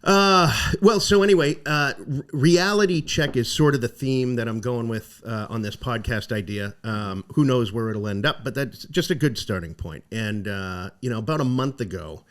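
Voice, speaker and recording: A man's voice: mid-range voice, moderate pace, few pauses. A man guests on a casual podcast. His manner is playful and easygoing, and he wanders through his stories with plenty of ums and restarts. He talks from a home basement game room. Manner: playful, easygoing, hesitant with fillers. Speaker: guest, real estate agent. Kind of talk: casual chat and storytelling.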